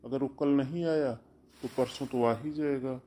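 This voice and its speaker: A man's voice: mid-range voice, steady tone, normal speed, dark tone, slight background noise, natural voice. A man voicing a kindly middle-aged brother in a cartoon who reassures his sister soothingly. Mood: reassuring. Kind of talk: cartoon voice acting.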